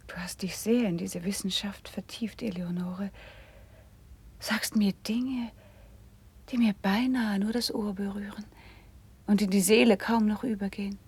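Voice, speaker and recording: An actress playing a gentle, refined princess and patient sister in a classic German radio play, speaking measured lyrical verse in a soft, breathy voice. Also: female voice, mid-range voice, steady tone. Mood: hushed, confiding.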